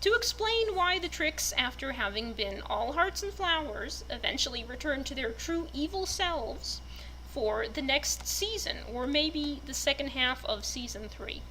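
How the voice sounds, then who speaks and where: A woman with a high, bright voice narrates as an enthusiastic fan. Her delivery is very animated and expressive, like someone reading aloud for fellow fans. A faint noise sits behind her. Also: unhurried, low-quality recording. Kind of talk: dramatic reading and casual fan commentary.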